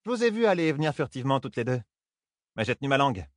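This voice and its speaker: A man's voice: mid-range voice, very animated, very fast, no room sound, studio quality, pitched above the performer's own voice, close to an everyday voice. A man voicing an outspoken, earthy woman of rank who talks like a solid peasant, in a fantasy audiobook. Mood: frank, insistent. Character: regal-looking woman with a solid peasant's bluntness.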